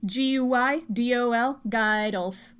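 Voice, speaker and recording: A woman co-hosting a podcast, chatting casually in a playful, expressive and slangy way. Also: on the high side, slow pace, clean tone. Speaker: podcast co-host. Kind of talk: casual chat about anime.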